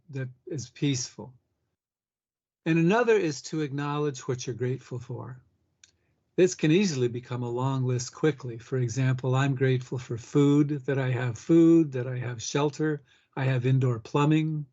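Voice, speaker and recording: A man's voice: mid-range voice, a little mellow. Man guiding a meditation, slightly muffled sound. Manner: unhurried, pausing between short phrases. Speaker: meditation guide. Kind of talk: guided meditation, gentle instruction.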